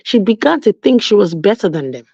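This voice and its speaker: A woman's voice: medium pitch, a little fast, husky, no room sound. A woman from Nigeria with a Nigerian accent, telling stories emphatically in a casual online chat. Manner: animated, emphatic storytelling with frequent restarts. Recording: dull lo-fi audio.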